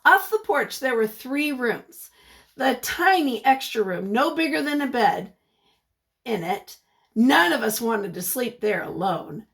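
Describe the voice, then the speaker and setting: A woman reading a picture book aloud as a teacher, expressive and encouraging, pausing to put questions to young listeners. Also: on the high side, vocal fry.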